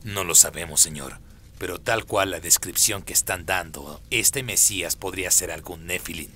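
Man voicing a very deep, deferential demon servant. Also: moderate pace, bright, forceful tone, a little hiss or hum. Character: deferential demon servant reporting to his dark master.

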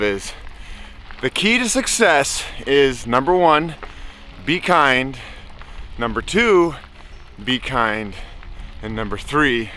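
A man's voice: mid-range voice, sharp tone, a little hiss or hum. A man in his forties vlogging casually and cheerfully while riding a bike on a mountain dirt road outdoors, recorded on an action camera held at arm's length. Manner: chatty and upbeat, talks to animals, playful sing-song bits. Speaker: bikepacking vlogger. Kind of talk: casual vlog narration to camera.

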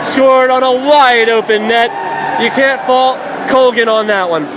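A young man giving radio play-by-play of a hockey game from an arena press box, rattling off plays and rising to shouts on goals. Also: extremely high pitch, crowd noise, noisy background. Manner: rapid excited calls rising to shouts on goals. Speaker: play-by-play announcer.

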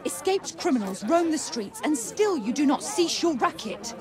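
A woman's voice: high-pitched, very animated, moderate pace. An actress playing a stern, officious female city guard in a video game, with a commanding manner. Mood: stern, alarmed. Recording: crowd and music behind.

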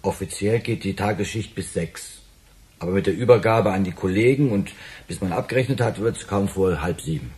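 An actor playing a nervous, wordy waiter in a radio crime drama, defensive and excitable as he explains himself. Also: low voice, brisk, close to an everyday voice.

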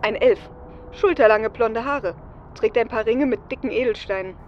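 Woman doing cheeky hacker lady in audio drama, higher voice, muffled lo-fi sound. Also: moderate pace, natural voice. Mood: tense reporting. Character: flippant female hacker watching over a heist team. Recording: dull, noisy audio.

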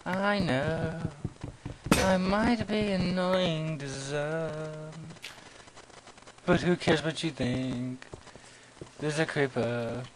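A young man giving goofy, self-mocking commentary while playing a game, yelling at monsters and talking to himself. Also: on the high side, drawn-out words, pauses often. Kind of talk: let's play commentary. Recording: noisy home recording.

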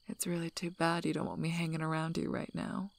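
A woman playing a tough, teasing, gruffly protective werewolf alpha in a soft-spoken, breathy ASMR roleplay, with dry delivery. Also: low-pitched, no echo. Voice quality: breathy, whispery, husky.

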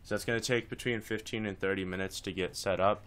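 Deep-voiced man giving a casual software tutorial. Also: steady tone, fast talker, clean tone. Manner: informal, step-by-step instructing.

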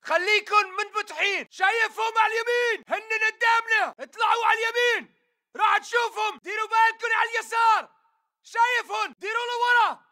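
An aggressive militant in a shooter game, yelling at a very high pitch. His Levantine Arabic comes in urgent, clipped battle barks with a hard, bright edge. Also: brisk, full character voice. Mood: urgent alarm. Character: fierce male militant fighter, aggressive and defiant. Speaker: enemy soldier voice in a shooter game. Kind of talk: combat battle chatter, shouted barks.

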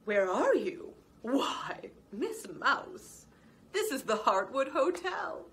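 A woman's voice: high voice, big pitch swings, takes it slowly, a bit bright, pitched above the performer's own voice, exaggerated voice. A woman playing a fussy, polite lizard clerk. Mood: polite eager. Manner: formal, eager, chatty.

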